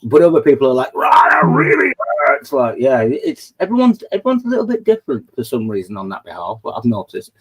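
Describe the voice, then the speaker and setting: A man's voice: mid-range voice, huge pitch swings, a little fast, few pauses, husky, low-quality recording. A man, hosting a casual late-night chat, rambling and boasting and laughing at his own stories. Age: 40s. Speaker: host of a live chat panel.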